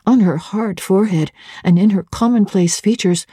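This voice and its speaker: A woman narrating classic literature as a dramatic reading, expressive, deliberate and theatrical. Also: medium pitch, slightly rough, no echo, studio quality. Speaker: audiobook narrator.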